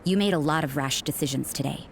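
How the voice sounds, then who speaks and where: Low-voiced, suspicious game nun. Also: female voice, gravelly, noisy background. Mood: cautioning. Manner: cool, sardonic, deliberate. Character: stern, suspicious young nun, cynical and guarded. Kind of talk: English video game character dialogue.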